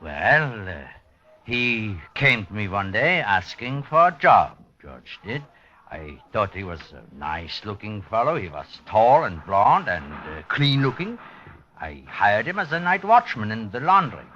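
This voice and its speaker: A man's voice: fairly low pitch, very animated, measured pace, pushed and bright, heavily acted. An actor playing an uneasy, cooperative businessman who speaks hesitantly, in 1950s radio drama style. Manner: hesitant, stammering. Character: cooperative male laundry owner, uneasy and haunted. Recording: dull 1950s radio recording.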